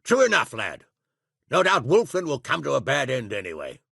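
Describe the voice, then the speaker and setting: A man voicing a gruff, blunt, hot-tempered medieval lord and military leader in a video game, with a raspy, commanding delivery. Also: medium pitch, huge pitch swings, moderate pace, pushed and bright, no room sound, clean studio sound, full character voice. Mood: gruff approval. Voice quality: gravelly, raspy. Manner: blunt, commanding, coarse-tongued. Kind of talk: scripted game dialogue acting.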